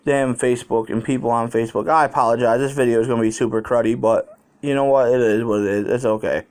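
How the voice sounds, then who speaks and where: A man with a mid-pitched voice talks casually to his viewers as a vlogger. He tells personal stories in a candid, unpolished way. His wording is colloquial and he tends to ramble from one thought to the next. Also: fairly even intonation, quick pace, darkish tone. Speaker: YouTube vlogger.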